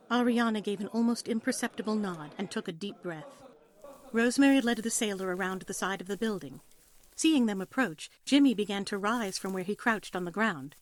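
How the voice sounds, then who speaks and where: A woman reading scripted story narration for an audio play in a dramatic storytelling style. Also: mid-range voice.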